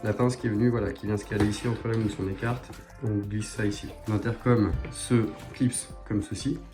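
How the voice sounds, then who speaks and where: Guy with a lowish voice, casual moto vlogger walking through gear step by step. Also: steady tone, moderate pace, over music. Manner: explanatory, informal, step by step. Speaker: motorcycle vlogger presenting a product. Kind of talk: casual hands-on product review.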